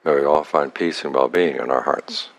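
A man leading a guided meditation as a teacher, speaking calmly and unhurriedly in short phrases with long pauses. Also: extremely low pitch, creaky.

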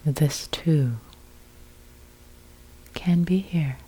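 A woman leads a guided meditation in a soft, breathy voice with a dark, relaxed tone. She speaks very slowly, in short soothing phrases set apart by long pauses. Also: low voice, rough recording. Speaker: meditation teacher.

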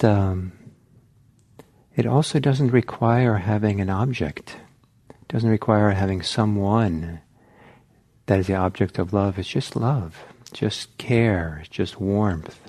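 A man teaching meditation in a gentle, reflective, halting way, leaving long pauses between short phrases. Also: on the low side, breathy edge. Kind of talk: guided meditation talk.

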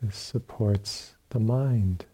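A man leading a guided meditation as a teacher, speaking calmly in short phrases with long pauses and a soft, husky voice. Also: lowish voice. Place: meditation retreat room.

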